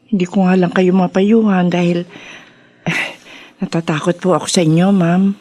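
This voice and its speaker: A woman's voice: fairly low pitch, little pitch movement, normal speed, a little mellow, lightly acted. An actress with a Filipino accent playing a timid, deferential woman, speaking hesitantly in radio drama style. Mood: nervous, confessing. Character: timid, deferential female informant confessing to her boss.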